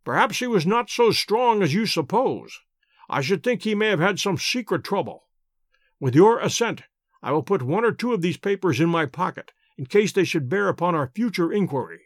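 A man reading an audiobook, voicing a calm male detective who explains his reasoning in a measured, precise, lecturing way. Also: high voice, dry recording, studio quality. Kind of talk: audiobook character voice.

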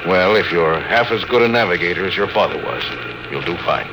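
Male, seasoned starship commander, lowish voice, steady and fatherly, vintage radio drama with clear background noise. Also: fairly quick. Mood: reflective. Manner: clipped orders, reflective hesitations. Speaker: actor in a radio play. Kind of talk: old-time radio drama acting.

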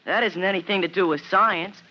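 American schoolboy, contrary, grudging, high voice, vintage radio drama, muffled recording. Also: male voice, a little fast, sharp tone, heavily acted. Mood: scornful, grudging. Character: scornful, stubborn schoolboy rival.

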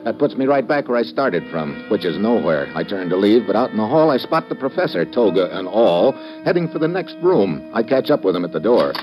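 An actor playing an impatient, hard-boiled private detective in old-time radio style, clipped, slangy and wisecracking. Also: male voice, fairly low pitch, very animated, a little fast, hardly any pauses, over music. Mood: terse, intent. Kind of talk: old-time radio detective acting with first-person narration. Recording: dull vintage radio recording.

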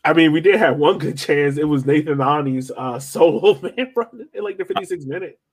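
A man's voice: on the high side, fairly quick, husky. A man co-hosting a soccer podcast, chatting informally and with slang like a fan. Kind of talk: casual sports fan chat.

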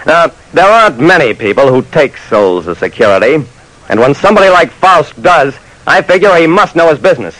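A man's voice: a little high, big pitch swings, a little fast, fairly bright tone, dry recording, rough recording. An actor playing a wry, slangy detective who narrates his case in a clipped old-time radio style. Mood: firm. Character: dry, soft-hearted male detective telling his case. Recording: vintage radio broadcast.

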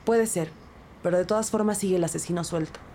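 A woman playing a brisk, direct detective questioning people in an audio crime drama. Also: fairly low pitch, dark tone, slight background noise, natural voice. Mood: inquiring, direct.

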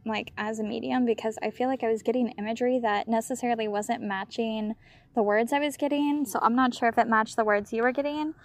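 A woman's voice: on the high side, fairly even intonation, a little fast. An American woman talking casually outdoors as she hosts a ghost hunt. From United States. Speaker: paranormal investigator and host. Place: outdoors in a park.

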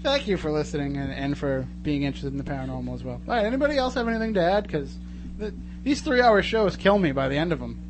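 A man hosts casual live talk radio in a fairly high voice. He talks fast and chattily, with a joking streak. There is clear noise and hum behind him. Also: big pitch swings. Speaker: radio show host.

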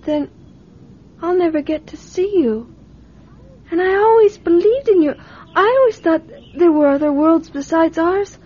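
A trusting little girl of nine in a radio play, with a tiny, very high voice. She is earnest, curious and childlike, heard on a slightly muffled recording. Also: a little slow, darkish tone. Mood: earnest, worried. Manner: earnest, questioning, simple childlike phrasing. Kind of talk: radio drama acting.

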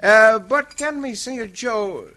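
An actor playing a gallant, good-humoured Mexican adventurer in an old radio western, speaking accented English. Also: male voice, high voice, takes it slowly, sharp tone, full character voice. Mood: courteous. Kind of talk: 1950s radio western acting. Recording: vintage radio recording, faint noise.

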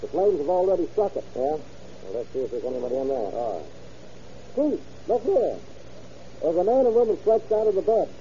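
An actor playing a businesslike, urgent American fire officer in an old-time radio drama. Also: male voice, a little high, sing-song, fairly quick, long pauses, warm tone. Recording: muffled, noisy old radio recording.

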